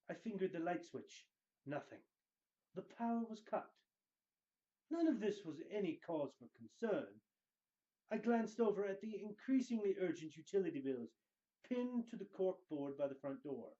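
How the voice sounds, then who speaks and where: Male narrator for theatrical fiction readings, slightly high-pitched yet soft-toned, emphatic and deliberate, on a muffled recording. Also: very animated. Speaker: reader of book excerpts. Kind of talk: dramatic scripted book reading.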